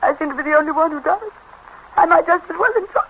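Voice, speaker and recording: An actress playing a flighty, self-dramatizing, worldly English wife with a theatrical, flippant manner, in a 1930s radio comedy style. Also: female voice, high voice, fast, highs cut off. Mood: self-pitying. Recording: hissy 1930s radio broadcast.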